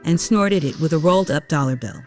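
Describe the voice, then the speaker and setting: A woman with a low voice delivers scripted story narration as the narrator of an audio drama. Faint music sits underneath her. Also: expressive, brisk.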